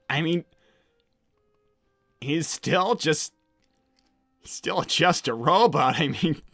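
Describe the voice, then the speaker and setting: Casting: male actor as young hedgehog hero, highish bright voice, wisecracking and casual, stumbling over words, cartoon livestream, dry close sound. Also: expressive, very slow, gravelly, pauses often, no room sound, faint music behind, heavily acted. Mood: uneasy. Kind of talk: improvised in-character livestream roleplay, reading out messages.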